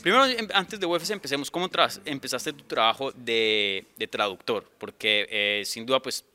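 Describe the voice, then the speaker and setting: Brief: male interviewer, very bright, sharp tone, upbeat manner, faint music behind. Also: mid-range voice, moderate pace, clean tone. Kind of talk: casual interview.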